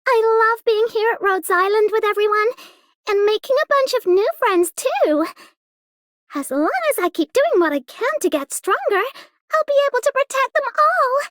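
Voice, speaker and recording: Very high, earnest girlish game character. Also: expressive, moderate pace, fairly bright tone, dry recording, studio quality. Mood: earnest, hopeful. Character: earnest, sweet young girl operator, eager to protect friends. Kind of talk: scripted game voice lines.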